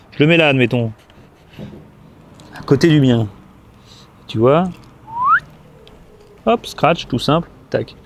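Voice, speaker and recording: A man's voice: medium pitch, gravelly, slight background noise. A man giving a casual hands-on product tutorial, chatting informally to the viewer and thinking aloud as a gear reviewer.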